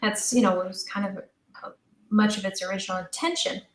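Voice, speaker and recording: A woman's voice: mid-range voice, fairly even intonation, brisk, a little hiss or hum. A young woman chatting casually and emphatically as a guest in a podcast interview. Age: young adult. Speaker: interview guest, audio drama creator. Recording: roomy, echoey voice.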